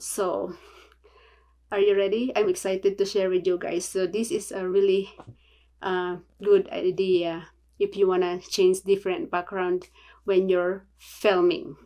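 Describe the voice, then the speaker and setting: A woman from the Philippines with a Filipino accent, chatting casually in a home video tutorial, halting and apologetic with many fillers. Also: medium pitch, darkish tone. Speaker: vlogger. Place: small home room.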